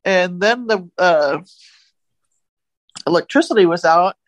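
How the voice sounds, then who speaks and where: A woman's voice: on the low side, lively intonation, slow, dry recording, poor audio. A woman co-hosting a podcast, chatting casually and retelling odd news stories in a folksy, joking way. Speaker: podcast co-host.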